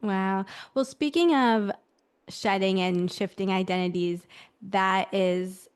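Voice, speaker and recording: A woman's voice: medium pitch, slow pace, clear, resonant tone. A young woman hosting a podcast, asking thoughtful questions and affirming her guest. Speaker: podcast host. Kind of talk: interviewing.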